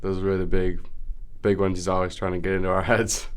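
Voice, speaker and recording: A man's voice: low-pitched, flat delivery, fast talker, clean tone. A man adding a matter-of-fact remark in an interview.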